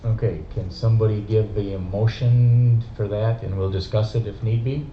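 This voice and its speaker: A man's voice: lowish voice, fairly even intonation, a little hiss or hum. A man chairs a school board meeting and runs motions and votes in a procedural way. The sound is muffled and lo-fi. The room echoes around him. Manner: procedural, brisk. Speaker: board president. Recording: dull echoey room recording.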